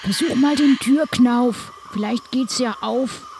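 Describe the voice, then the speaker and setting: A man talks casually in an improvised audio adventure, playing along as one of the group. Clear ambient noise runs behind the voice. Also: extremely high pitch, slow.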